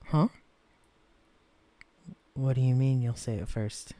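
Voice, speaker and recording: A man voicing a gentle, shy young man who has been shrunk to tiny size, speaking softly and stammering when flustered. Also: medium pitch, slow, no echo, lightly acted. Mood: tender. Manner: soft-spoken, hesitant, stammering when flustered. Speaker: character in a listener-directed romance audio. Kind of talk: audio roleplay drama.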